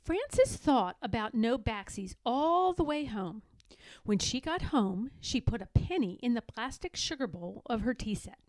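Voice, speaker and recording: A woman's voice: on the high side, big pitch swings, a little slow, a little mellow, dry recording, poor audio. A woman narrating a children's storybook expressively as a read-aloud storyteller.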